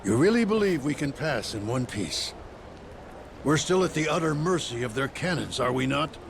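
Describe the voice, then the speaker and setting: A man's voice: on the high side, lively intonation, moderate pace. An actor playing an anxious, formal ship's crewman in a video game, fussy and wordy, with noise around him. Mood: apprehensive. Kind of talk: video game dialogue acting. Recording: clear outdoor ambient noise.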